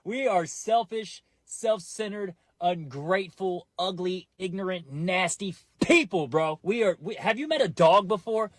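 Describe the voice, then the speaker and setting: A man's voice: high voice, lively intonation, a little slow, hardly any pauses, no room sound, studio quality. A man doing a comic rant, slangy and laughing as he goes, calling the listener bro. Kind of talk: comedic rant.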